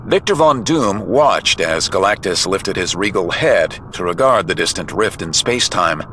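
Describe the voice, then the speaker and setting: A man's voice: sits low, expressive, normal speed, a bit bright, a little hiss or hum, low-quality recording. A man reading dramatic scripted narration for a comic-book adventure.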